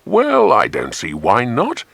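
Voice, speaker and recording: A hearty, easygoing grown man from a children's adventure audiobook, acted out by a male narrator. His talk is avuncular and considered, with lively swings of pitch, and the sound is dry and close. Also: slow pace, vocal fry, slightly rough, no echo, heavily acted. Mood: amused, agreeable. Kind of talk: dramatized children's audiobook dialogue.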